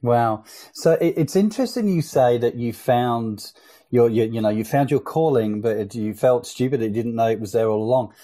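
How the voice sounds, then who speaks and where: Man, podcast host, mellow tone, chatty interviewer, fillers and restarts. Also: medium pitch, expressive, moderate pace. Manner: conversational, frequent fillers and restarts.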